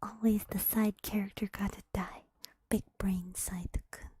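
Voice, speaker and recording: A woman streamer telling a story in a soft unvoiced whisper, playful and teasing, with giggly asides to her chat. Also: medium pitch, level tone, unhurried, husky, no echo.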